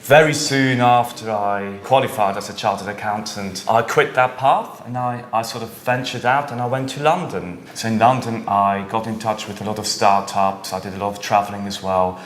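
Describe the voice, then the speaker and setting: A man's voice: fairly low pitch, steady tone, moderate pace, hardly any pauses, a bit bright. A man from Switzerland in his thirties, a startup founder answering interview questions in a thoughtful way, with many fillers and tag questions. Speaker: startup founder and CEO guest. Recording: echoey room with faint ambience.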